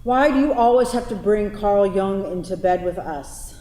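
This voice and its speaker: An actress playing a sharp, exasperated woman lover with a sardonic, challenging manner in a staged play reading. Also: mid-range voice, normal speed, lightly acted. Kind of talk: staged play reading before a live audience. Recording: echoey hall, noisy, distant reverb.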